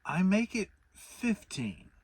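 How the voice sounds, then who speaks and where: A man's voice: high-pitched, big pitch swings, slow pace, slightly rough, dry recording, voice pushed up, heavily acted. A man voicing a simple-minded, humble toy bear who speaks in an earnest, musing way in a storybook reading. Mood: puzzled.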